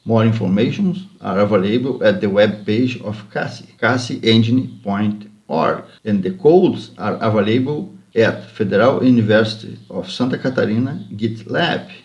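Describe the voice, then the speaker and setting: Brazilian man giving a measured academic talk. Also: on the low side, darkish tone, dull audio. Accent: Brazilian Portuguese. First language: Portuguese. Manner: careful, measured, slightly halting non-native English. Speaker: university researcher presenting. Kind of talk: prepared conference talk.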